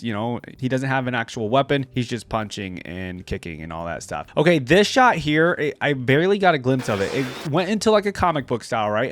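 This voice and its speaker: A man giving casual, enthusiastic, slangy reaction commentary as host of a reaction video, with trailer music behind him. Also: medium pitch. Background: game trailer music.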